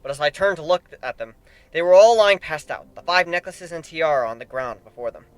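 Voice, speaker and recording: Animated male story narrator, fairly high voice. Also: fairly quick, clean tone, no echo. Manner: animated, theatrical. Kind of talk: dramatic reading of first-person fan fiction. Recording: background music under the voice.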